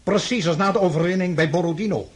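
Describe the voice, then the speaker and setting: An actor playing an imperious, overconfident French emperor in a radio drama, brusque and commanding. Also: male voice, high voice, fast talker, breathy edge. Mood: impatient confidence. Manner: brusque, commanding, self-assured.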